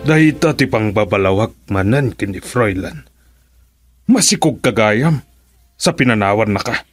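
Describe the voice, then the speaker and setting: A man's voice: on the low side, lively intonation, a little slow, slightly rough, faint music behind. An actor playing a stern, angry older father of wealth in a radio drama, scolding and indignant.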